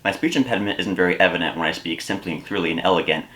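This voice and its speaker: A man playing an earnest, awkward speech therapy patient in a deadpan, wordy way. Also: low-pitched, very fast, pitched below the performer's own voice.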